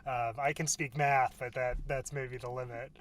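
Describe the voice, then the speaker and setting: A man hosting a casual interview, asking questions haltingly and rephrasing them as he goes. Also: medium pitch, fairly even intonation, a bit bright. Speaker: podcast host, interviewer.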